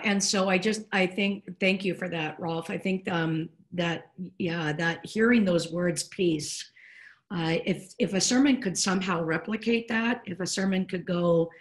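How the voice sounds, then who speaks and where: Middle-aged woman on a home video call, earnest and thoughtful, lowish voice. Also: steady tone, measured pace. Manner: earnest, reflective, gestures with hands while talking. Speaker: podcast co-host discussing preaching. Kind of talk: casual podcast discussion.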